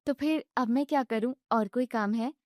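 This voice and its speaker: A woman's voice: fairly high pitch, moderate pace, darkish tone, no echo, studio quality, pitched above the performer's own voice, natural voice. A woman voicing an earnest young daughter-in-law who talks plaintively in a family story. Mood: eager. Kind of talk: Hindi family story acting.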